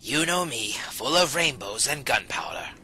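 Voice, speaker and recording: A man's voice: medium pitch, big pitch swings, unhurried, vocal fry, pushed and bright, a little hiss or hum, full character voice. A man playing a dry, wry mercenary in an audio drama. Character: sardonic male mercenary briefing his team.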